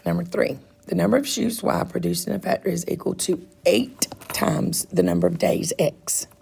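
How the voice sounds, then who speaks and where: A woman with a congested, raspy, froggy throat, patiently walking students through a math quiz step by step as their teacher. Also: on the low side, lively intonation, normal speed, a little mellow. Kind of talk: recorded math lesson walkthrough.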